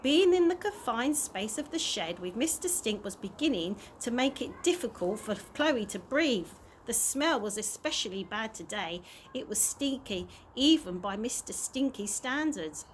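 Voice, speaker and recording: A woman's voice: on the high side, expressive, measured pace, few pauses. A woman reading a children's story aloud on a slightly noisy amateur recording. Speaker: early years practitioner reading stories.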